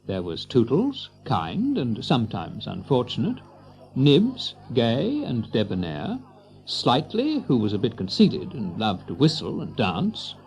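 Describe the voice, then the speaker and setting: Very animated male storybook narrator. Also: medium pitch, measured pace, vocal fry, poor audio. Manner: expressive, theatrical storyteller delivery. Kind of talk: scripted children's story narration.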